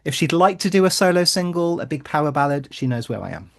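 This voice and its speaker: A man's voice: a little high, lively intonation, fast talker, vocal fry. Chatty British man, jokey co-host. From Britain. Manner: jokey, chatty, bursts into song. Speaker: podcast co-host. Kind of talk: casual chat.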